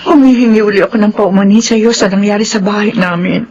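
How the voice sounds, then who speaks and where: An actress playing a gentle, troubled widowed mother, earnest and pleading, in a Filipino radio drama. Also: medium pitch, steady tone, fast, muffled recording, faint music behind, close to an everyday voice. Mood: apologetic, reassuring.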